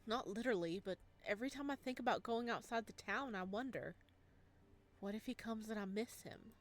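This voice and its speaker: A woman's voice: fairly high pitch, a little fast, lightly acted. A woman voicing a lonely, wistful young male ghost as she reads a visual novel aloud.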